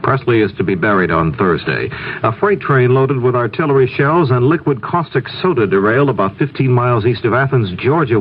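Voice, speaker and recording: A man reading scripted 1970s network radio news in a matter-of-fact way. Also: mid-range voice. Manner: crisp, matter-of-fact delivery. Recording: narrow-band 1970s radio, faint noise.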